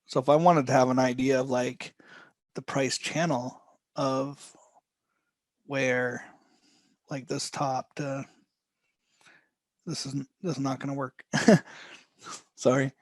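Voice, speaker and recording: Hoarse man slowly teaching chart trading. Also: mid-range voice, level tone, no echo. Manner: loose, rambling, with many restarts and throat clearing. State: losing his voice, hoarse. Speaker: trading instructor. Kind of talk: live trading tutorial.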